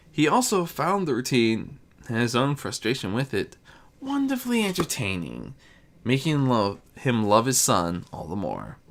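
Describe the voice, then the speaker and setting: An animated narrator reads a story aloud at a middling pitch. The intonation swings very widely, and the delivery stumbles now and then over the script. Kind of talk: scripted narration, fan fiction reading.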